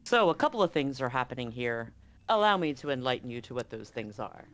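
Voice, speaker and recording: A game master narrating a tabletop horror game in a dramatic, suspenseful way, with deliberate pauses. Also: medium pitch, very animated.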